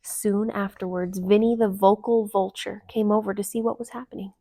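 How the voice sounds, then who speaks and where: A woman reading a children's story aloud, with gentle, expressive storytelling narration. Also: medium pitch, moderate pace, dry recording. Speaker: storytime reader.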